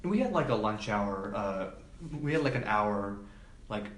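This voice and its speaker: A man's voice: lowish voice, lively intonation, unhurried. A man speaking as an interview guest in a casual, informal, hesitant way, with lots of filler words. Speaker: podcast guest, film production assistant. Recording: echoey room, background noise.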